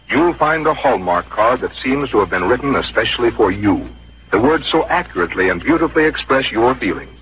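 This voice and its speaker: An American man working as a radio announcer, reading a scripted 1950s sponsor message in a polished, measured, earnest way. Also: medium pitch. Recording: muffled old radio broadcast.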